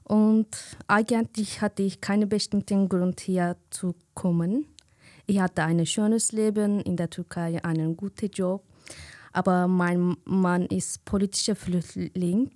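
A woman from Turkey, speaking slowly and hesitantly as a guest on a community radio show, pausing often to find her words. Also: lowish voice, fairly even intonation, darkish tone, dry recording, studio quality. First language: Kurdish. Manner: hesitant, searching for words with many fillers. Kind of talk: casual radio chat.

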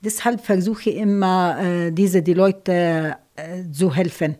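A woman with an Arabic accent speaking German as a second language in a radio studio interview, halting, with grammar slips and frequent fillers. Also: on the low side, steady tone, dark tone. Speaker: studio guest, volunteer refugee helper.

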